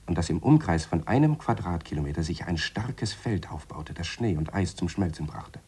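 An actor playing a deliberate, wry scientist in a radio play, explaining his theories in a measured, dry manner. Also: low voice, animated, a little mellow, breathy edge, exaggerated voice. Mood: matter-of-fact. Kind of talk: radio play dialogue in a reflective, lecturing tone.

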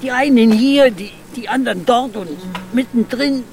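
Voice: mid-range voice, moderate pace, a little mellow, a little hiss or hum, close to an everyday voice. An actor playing a bitter, scornful old villager who mutters fatalistically in a radio drama. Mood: bitter, fatalistic.

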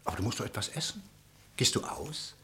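A boastful bon vivant in a radio play, with widely swinging, animated pitch. He is breezy and insistent, every phrase delivered with theatrical exuberance. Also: fairly quick. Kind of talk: German radio play acting.

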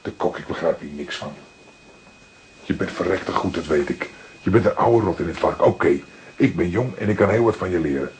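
An actor playing a young junior police detective with a Dutch accent, questioning and impatient, in a detective drama. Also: male voice, deep voice, normal speed, darkish tone, natural voice. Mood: frustrated. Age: young adult. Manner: probing, questioning. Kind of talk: dramatic detective dialogue acting. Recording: noisy track with background ambience.

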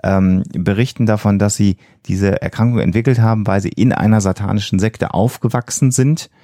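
Low, creaky-voiced man explaining earnestly. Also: fairly even intonation, moderate pace, dark tone, no room sound, studio quality. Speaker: podcast co-host. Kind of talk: explanatory podcast discussion.

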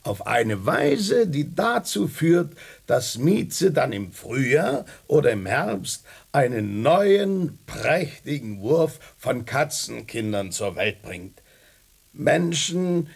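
Raspy, smug lecturing cat. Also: male voice, a little high, full character voice. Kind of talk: radio drama acting.

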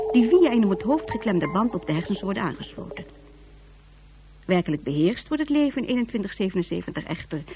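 A woman's voice: a little high, noisy background. A woman giving scripted narration for a science-fiction radio serial. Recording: faint music behind.

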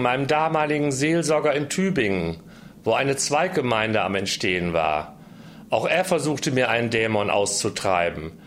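A man's voice: medium pitch, a bit bright. Man recounting his past over background noise. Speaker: former congregation member giving testimony. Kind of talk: casual personal recollection. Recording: noisy location recording with ambience.

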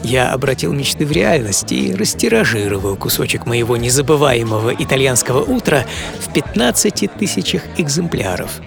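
An actor as a dreamy businessman, creaky voice, music behind. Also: mid-range voice, big pitch swings, moderate pace. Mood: confident, inspired. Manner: theatrical, savouring, emphatic delivery. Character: dreamy, passionate American coffee businessman reminiscing. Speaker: author and narrator of a radio programme. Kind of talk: dramatised first-person audio storytelling. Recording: studio voice over music.

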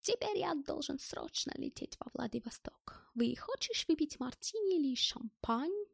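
Женщина озвучивает в аудиокниге пожилого робкого и эмоционального немца, который с немецким акцентом говорит на ломаном языке. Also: female voice, high voice, expressive, gravelly, no room sound, clean studio sound, pitched above the performer's own voice. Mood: grateful. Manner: plaintive, emotional, halting foreign phrasing.

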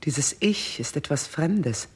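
A woman playing a stern, composed queen in a 1960s German radio play, speaking in a measured, solemn, commanding way. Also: low-pitched, lively intonation, husky, full character voice. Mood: solemn, hypnotic. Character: stern, composed queen guiding a king toward death.

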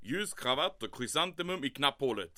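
Bright, swooping, pompous German commandant. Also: male voice, medium pitch, lively intonation, no room sound, studio quality. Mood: bossy, self-satisfied. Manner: commanding, breezy, gloating, peppered with German phrases. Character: pompous, domineering male German army commandant in wartime. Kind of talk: old-time radio drama acting.

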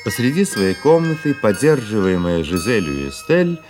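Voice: mid-range voice, very animated, moderate pace, music in the background. A narrator reading scripted stage directions for an audio play in a storytelling style.

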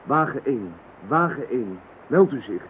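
A man's voice: mid-range voice, sing-song, normal speed, close to an everyday voice. An actor playing a dutiful, persistent male police radio operator, calling in clipped phrases in a radio drama. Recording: tinny, band-limited, hissy radio play recording.

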